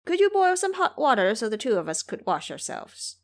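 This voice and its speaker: A woman voicing a brash, boastful, ancient male monkey sage in an animated audio drama. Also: a little high, a little fast. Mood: cheeky, asking favors.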